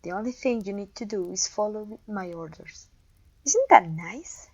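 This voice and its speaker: A woman's voice: medium pitch, lively intonation, moderate pace, darkish tone, breathy edge, lo-fi sound. A woman playing a haughty, domineering evil queen and sorceress in a scripted roleplay audio, imperious, scornful and theatrical. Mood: smug, possessive. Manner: imperious, scornful, theatrical, with sighs and disgusted groans.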